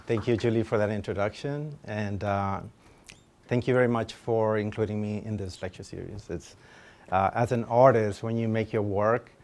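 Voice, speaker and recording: A man from Honduras speaking as a guest artist in an informal lecture, hesitant, humble and candid, with a smooth, clear voice. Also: lowish voice, fairly even intonation, normal speed, a little mellow, slight background noise. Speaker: fine-art photographer, guest speaker.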